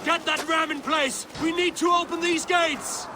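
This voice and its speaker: A man acting a hard Viking raid commander in a video game, barking drawn-out orders in gruff language. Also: very high voice, steady tone, crowd noise, background noise. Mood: driving.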